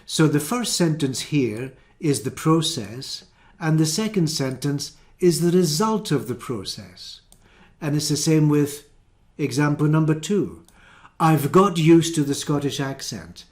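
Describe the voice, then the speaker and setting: Male ESOL teacher, slow deliberate delivery, patient step-by-step explanations. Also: on the high side, animated, darkish tone. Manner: careful, repeats and rephrases each example. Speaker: English teacher. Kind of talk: slow explanatory grammar lesson.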